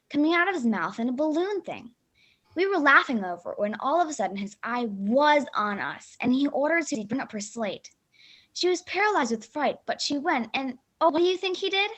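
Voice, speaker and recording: A woman's voice: fairly high pitch, fairly bright tone. A woman playing a dramatic, self-important schoolgirl in a radio play rehearsal, telling her tale with emphatic melodrama. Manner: melodramatic, emphatic, mangles big words.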